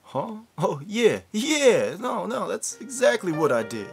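A man's voice: sits high, big pitch swings, unhurried, vocal fry, faint music behind, full character voice. A man voicing a boastful, bumbling detective who bluffs his way along in an anime parody dub. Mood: flustered bluffing.

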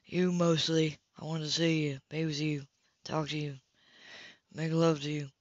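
A woman's voice: sits low, flat delivery, measured pace, a little mellow, dry recording, voice pushed down. A woman reading the part of a hot-tempered, possessive male lover, direct and seductive, in a romance audiobook. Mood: seductive, intense. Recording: dull lo-fi home recording.

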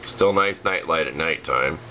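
American male, deep rough voice, folksy unhurried DIY demo, slightly noisy home-video sound. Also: sharp tone. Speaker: hobbyist demonstrating a homemade project. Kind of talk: casual explanatory demo. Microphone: handheld camera, speaker behind it.

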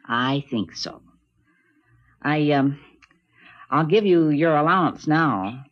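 An actress playing a gentle, reassuring middle-aged mother in a 1950s radio drama. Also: low-pitched, animated, slow, exaggerated voice. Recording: dull 1950s radio broadcast recording.

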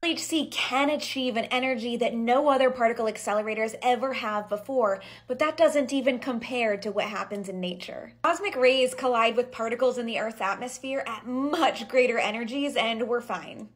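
A young woman explaining confidently and clearly in a short clip, speaking close to the camera. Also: on the high side, moderate pace, hardly any pauses.

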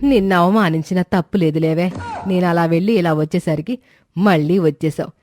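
A woman voicing an indignant older mother who chides her married daughter, in an animated moral story. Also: fairly low pitch, fairly quick, slight background noise, close to an everyday voice. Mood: chiding. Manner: chiding, indignant.

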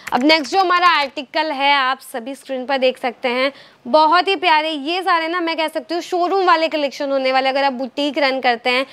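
A woman's voice: high voice, a bit bright. A young woman from India presenting clothes for a wholesale outlet in a fluent, upbeat sales patter, recorded indoors in a shop on a lapel mic. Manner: upbeat, fluent, repetitive sales patter mixing in English words. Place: indoor clothing store with stocked shelves.

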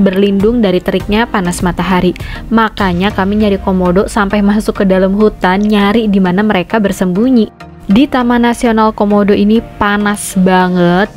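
Young indonesian woman vlogging a trek, chatty and upbeat, mid pitch, music faint behind. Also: darkish tone. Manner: chatty, upbeat, mixes English phrases into her talk. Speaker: travel vlogger. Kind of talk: vlog voice-over and on-the-spot chat. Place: outdoors on a forest trek.

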